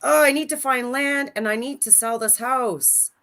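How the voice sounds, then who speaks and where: A woman's voice: high-pitched, normal speed, fairly bright tone. A woman in her forties, a guest on a livestream, chatting casually and laughing as she tells stories about friends. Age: 40s. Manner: chatty, laughs often, storytelling.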